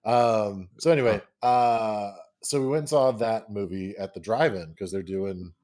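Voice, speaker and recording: Male podcast co-host, lowish voice, rambling, animated storyteller. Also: measured pace. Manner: rambling, animated, jumps into high-pitched put-on quotes. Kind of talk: casual chat.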